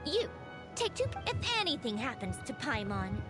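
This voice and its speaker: A woman's voice: fairly high pitch, very animated, moderate pace. A woman playing a sardonic, easily exasperated engineer commander in a video game, with a dry, teasing manner. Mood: brisk, resolved. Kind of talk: scripted video game voice acting. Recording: music under the voice. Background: game music.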